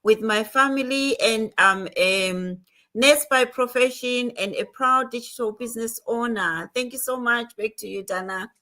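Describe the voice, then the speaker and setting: A woman's voice: fairly high pitch, a little slow, sharp tone. An older woman with a Zimbabwean accent, giving a polite, formal self-introduction on a video call. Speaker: nurse and guest.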